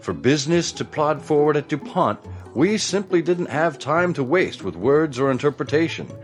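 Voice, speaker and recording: Guy acting as ghost of a stuffy 1910s business tycoon, formal, pompous, swoopy pitch, audio drama. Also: big pitch swings, normal speed, faint music behind. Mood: self-assured, reminiscing. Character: ghost of an early-1900s businessman, formal and self-assured.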